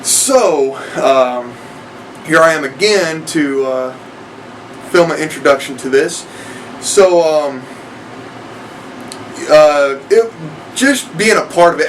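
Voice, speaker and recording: A young man giving a casual vlog introduction in a hesitant, rambling manner. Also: on the high side. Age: young adult. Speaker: online reviewer and aspiring voice actor. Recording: distant mic with background noise.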